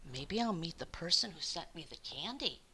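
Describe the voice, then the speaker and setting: A woman's voice: fairly low pitch, lively intonation, moderate pace, pushed and bright, breathy edge, exaggerated voice. A woman voicing a shy, lonely storybook man who is earnest, courteous and eager to help. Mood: delighted. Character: shy lonely grown man turning delighted and helpful.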